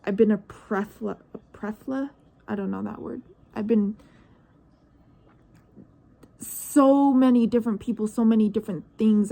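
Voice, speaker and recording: Low-key, gravelly nineteen-year-old woman musing. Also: dark tone. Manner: searching, hesitant, trails off into questions. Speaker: vlogger. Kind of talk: reflective personal monologue.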